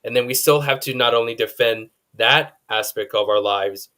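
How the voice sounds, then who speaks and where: A man moderating a panel discussion, speaking in a measured, affirming way with frequent ums. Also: medium pitch, bright, forceful tone.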